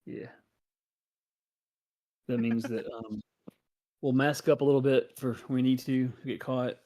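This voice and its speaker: Man player thinking out loud, mid pitch, hesitant, lots of restarts. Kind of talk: planning aloud in a tabletop game.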